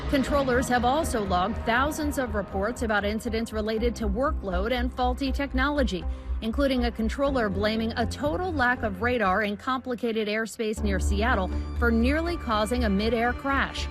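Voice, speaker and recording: A woman's voice: a little high, normal speed. A woman narrating a scripted investigative news report in the role of a reporter. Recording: music bed behind the voice.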